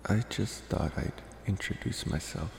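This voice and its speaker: A man playing a polite, infatuated, mysterious vampire in an ASMR roleplay, talking slowly and reassuringly with hesitant pauses. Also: on the low side, creaky, darkish tone, echoey room, in a large hall, slight background noise. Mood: calm, reassuring. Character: soft-spoken male college-age vampire, politely infatuated, mysterious.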